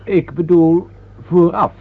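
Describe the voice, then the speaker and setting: An actor playing a cynical, scheming nobleman in a radio play, deliberate and insinuating, pressing his points with an edge. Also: fairly high pitch, fairly even intonation, husky, full character voice. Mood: urgent, conspiratorial. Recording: tinny old radio play, faint hiss.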